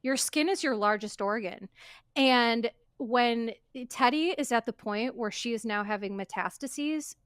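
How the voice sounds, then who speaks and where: A woman with a somewhat high voice talks earnestly in a casual podcast chat. She is emphatic and stacks repeated phrases to make her point. The sound is close and dry. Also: measured pace, smooth, dry recording. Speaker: podcast guest.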